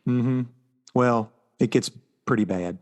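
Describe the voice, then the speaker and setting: A man's voice: medium pitch, slow pace, darkish tone. An American man co-hosting a podcast, chatting casually and with enthusiasm about pop culture. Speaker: podcast co-host.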